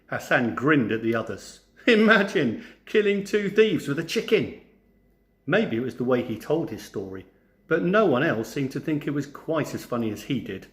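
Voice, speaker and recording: A man narrating a storybook for children in an expressive, theatrical way, like a teacher. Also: mid-range voice. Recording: roomy, echoing sound.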